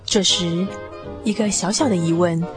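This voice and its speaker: A woman narrating a radio drama in an expressive, measured literary style. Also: lowish voice. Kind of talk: scripted radio drama storytelling. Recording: music behind the voice.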